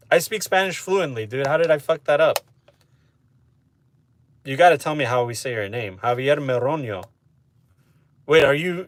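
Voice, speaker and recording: A man chatting casually with his live-stream audience, relaxed and talkative, often asking "you know what I mean?". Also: medium pitch, slight background noise. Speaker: streamer answering chat.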